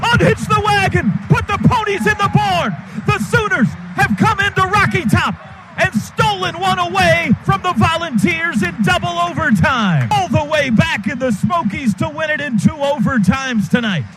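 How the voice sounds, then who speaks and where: High-pitched man calling football live. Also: raised voice, over music. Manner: excited, clipped rapid-fire calls. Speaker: radio play-by-play announcer. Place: stadium radio booth. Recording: noisy stadium broadcast booth.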